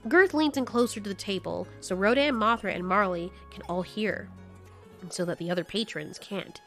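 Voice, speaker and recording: A woman's voice: mid-range voice, moderate pace, fairly bright tone, faint music behind. A woman narrator reading a scripted story in an audio drama.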